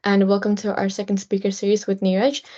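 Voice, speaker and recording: A woman's voice: mid-range voice, monotone, fast, mellow. A young woman, president of a student club, casually introducing a guest speaker. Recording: dull lo-fi audio.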